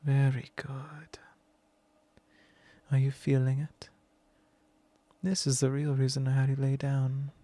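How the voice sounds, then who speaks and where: A man's voice: gravelly, dark tone, slightly rough. A man playing a whispering possessive vampire lord. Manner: slow, low and intimate, with frequent whispers. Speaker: ASMR roleplay performer. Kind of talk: ASMR roleplay.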